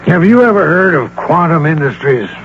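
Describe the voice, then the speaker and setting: An actor playing an eager, familiar advertising executive in a radio drama, with a punchy, confiding delivery. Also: male voice, a little high, big pitch swings, moderate pace. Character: eager, familiar advertising executive reporting to his female boss. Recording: muffled old radio broadcast, faint noise.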